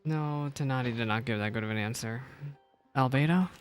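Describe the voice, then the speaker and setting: A man's voice: medium pitch, fairly quick, smooth. A man streaming a game and giving casual, rambling reaction commentary. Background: soft video game music.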